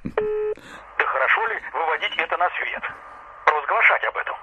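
High-pitched fussy affronted patriot, muffled. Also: male voice, huge pitch swings, normal speed, very noisy. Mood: offended, pleading. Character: fussy, affronted male patriot fretting over national reputation. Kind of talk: theatrical audio drama acting.

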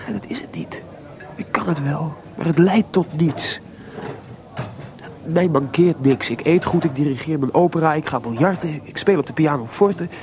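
An actor playing a melancholy, restless composer in a Dutch radio play, hesitant and searching for words, with theatrical delivery. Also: male voice, fairly high pitch, moderate pace, a little mellow, close to an everyday voice. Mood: despondent, searching. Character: Mozart, restless, melancholy composer, proud but adrift.

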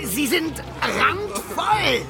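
A performer playing an excitable young ship's hand yelling across the deck in a German radio drama. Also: high voice, vocal fry, music in the background, a crowd in the background, full character voice. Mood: jubilant. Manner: yelling, drawn-out calls.

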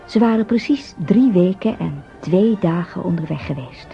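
A woman's voice: on the low side, animated, normal speed, gravelly, warm tone, soft background music. A woman narrating a scripted fairy-tale radio play as its storyteller. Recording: dull radio recording.

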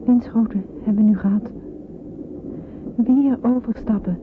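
An actress playing a frightened, lonely young refugee in a radio drama, with hesitant, wistful first-person narration. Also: female voice, on the high side, steady tone, measured pace, lightly acted. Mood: drowsy, uneasy. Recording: muffled, noisy old radio play recording.

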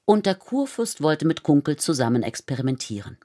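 An actress playing a composed, observant storyteller, narrating a poetic radio drama in a measured literary manner. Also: female voice, deep voice, big pitch swings, warm tone. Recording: studio radio drama with music. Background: prominent music with strings.

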